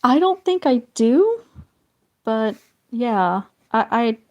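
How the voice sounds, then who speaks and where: A woman chatting casually with her livestream viewers while she draws, meandering from topic to topic and often trailing off into quiet asides. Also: fairly high pitch, very animated, very slow, highs cut off. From northern United States.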